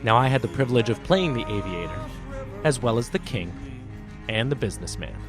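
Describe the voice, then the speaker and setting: A man's voice: medium pitch, expressive, brisk. A man hosting a storytelling podcast, speaking in an earnest, reflective manner. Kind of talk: storybook narration and personal host talk. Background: music under the voice.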